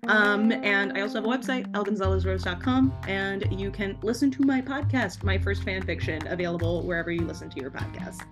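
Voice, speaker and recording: A woman's voice: medium pitch, fairly quick, few pauses, music in the background. A young woman talking casually as a podcast guest, chatty and giggly, peppering her sentences with "like". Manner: chatty, frequent "like", giggles between phrases. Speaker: podcast guest, debut author. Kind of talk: casual conversational interview.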